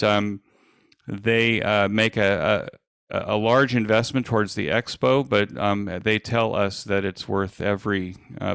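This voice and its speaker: A man giving an informal presentation as an event organizer, speaking in a halting, hesitant way with frequent pauses. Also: on the low side, creaky, fairly bright tone, smooth, dry recording, studio quality.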